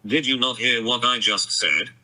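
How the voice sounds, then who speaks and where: A text-to-speech male voice giving flat, terse replies in a phone call. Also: mid-range voice, normal speed.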